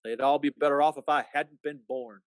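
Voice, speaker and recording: A man playing a despairing, sardonic small-town family man in a radio play, with a halting, folksy, skeptical drawl. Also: fairly high pitch, steady tone, clear, resonant tone, close to an everyday voice. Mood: bitter, despairing. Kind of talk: 1940s-style radio play acting.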